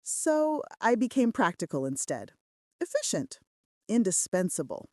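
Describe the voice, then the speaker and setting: A woman's voice: medium pitch, big pitch swings, measured pace, darkish tone, no room sound. A woman voicing a practical, affectionate female assistant with dry wit in a romance audiobook. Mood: candid, wistful. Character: practical, wry, loving female assistant to mafia boss.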